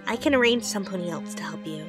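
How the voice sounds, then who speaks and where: A woman's voice: on the low side, animated, fast, music in the background, natural voice. A woman playing an anxious, kind young pony aide in a radio drama, earnest and easily flustered. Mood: flustered, nervous. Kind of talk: fan radio drama acting.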